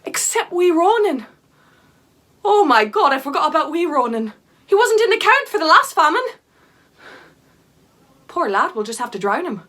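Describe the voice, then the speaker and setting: A cheerful Irish peasant mother in a comedy monologue, with a very high, bright voice that swoops about. She tells folksy tales with callous good cheer and plenty of exclaiming. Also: sing-song, normal speed, long pauses, exaggerated voice. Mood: matter-of-fact. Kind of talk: comic character monologue.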